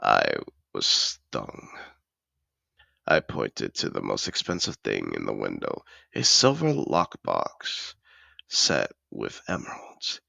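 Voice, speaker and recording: Man voicing a very deep, gravelly, suave dragon. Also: huge pitch swings, sharp tone, dry recording, exaggerated voice. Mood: suave, self-satisfied. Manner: theatrical, refined, unhurried storyteller. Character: suave, possessive, wealthy male dragon in human disguise. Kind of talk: scripted in-character audio roleplay monologue.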